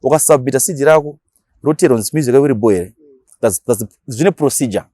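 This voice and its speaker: A Shona-speaking man with a Zimbabwean accent, talking casually as an interview guest and explaining things in animated, slangy language with acted-out examples. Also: medium pitch, fast, no echo, clean studio sound. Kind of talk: casual interview talk mixing Shona and English.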